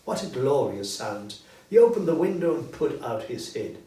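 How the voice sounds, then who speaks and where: A man reading a story as narrator, in an expressive, deliberate scripted style. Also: mid-range voice. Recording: roomy echo, distant mic, faint noise.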